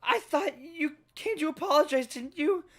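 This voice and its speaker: A man's voice: very high-pitched, moderate pace, fairly bright tone, voice pushed up. A man voicing a terrified young manga boy who gasps, stammers and pleads through tears. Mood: desperate pleading. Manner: panicked, gasping, stammering cries.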